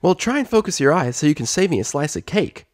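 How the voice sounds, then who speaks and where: A man's voice: a little high, very animated, fast, pitched above the performer's own voice. A man voicing a small young male dragon, a casual and slightly cheeky storybook sidekick.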